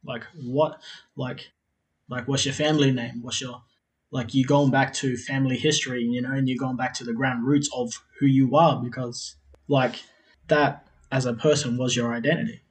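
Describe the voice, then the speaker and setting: A man's voice: medium pitch, level tone, moderate pace, poor audio. A man in his twenties with an Australian accent, telling his life story as a podcast guest in a loose, rambling way, with lots of fillers and frequent laughs. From South Australia. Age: 20s. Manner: rambling, repeats himself, many "like" fillers, laughs often. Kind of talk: casual interview storytelling.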